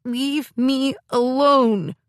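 Woman voicing a shrill, sobbing, furious young woman. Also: extremely slow, no echo, clean studio sound, full character voice. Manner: shrill, wailing, tearful. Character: fierce, proud young woman sobbing in a rage. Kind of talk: audiobook character voice.

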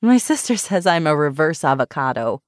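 A woman's voice: low-pitched, big pitch swings, moderate pace, no room sound, studio quality. A woman voicing a reserved, wry woman doctor in a novel, speaking in a guarded, terse way.

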